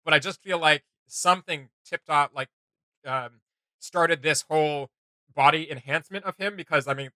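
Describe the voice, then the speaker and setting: A man talking loosely through a reaction video he co-hosts, thinking aloud with frequent fillers and easy laughter. His voice is very bright and sits a little high for a man. The sound is dry and close. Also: normal speed, no echo. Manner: rambling, filler-heavy, laughs often. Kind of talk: casual reaction chat.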